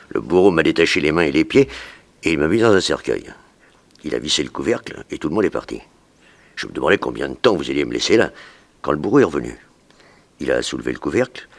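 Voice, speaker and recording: A man's voice: extremely low pitch, creaky, exaggerated voice. A French man acting in a 1960s radio drama as a cocky, coarse escaped criminal with a sneering, familiar manner. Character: gloating male convicted criminal, cocky and coarse, freshly escaped.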